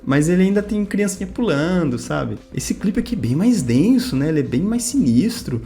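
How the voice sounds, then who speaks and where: A man's voice: fairly high pitch, moderate pace. A man in his twenties with a São Paulo state Brazilian accent tells personal stories to viewers in a casual, slangy way, acting out bits of dialogue. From Campinas, Brazil. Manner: informal, slangy, animated retelling with quoted dialogue. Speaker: video creator telling personal stories. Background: music.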